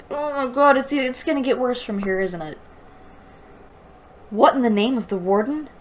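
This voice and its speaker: A woman reads from a book and picks it apart as a reviewer. Her pace is unhurried and her tone is sarcastic and exasperated. A faint noise sits behind her voice in what sounds like a home recording. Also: high voice. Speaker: host of a book review show. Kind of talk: mocking read-aloud book review with commentary.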